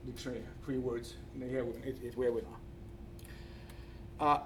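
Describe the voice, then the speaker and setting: A man with a Finnish accent gives an academic presentation, speaking haltingly and searching for words. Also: medium pitch, steady tone. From Finland. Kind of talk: conference presentation with slides. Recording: distant mic, faint background noise.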